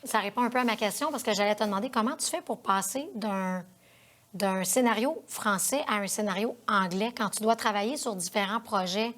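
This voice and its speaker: A woman's voice: mid-range voice, brisk, fairly bright tone. A woman with a Quebec French accent interviewing a guest as host of a TV show, in a conversational studio style.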